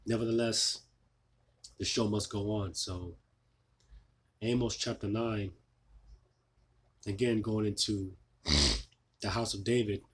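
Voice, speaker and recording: A man losing his voice, giving an informal scripture sermon, halting with many fillers and restarts. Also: lowish voice, fairly even intonation, slightly rough. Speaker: preacher.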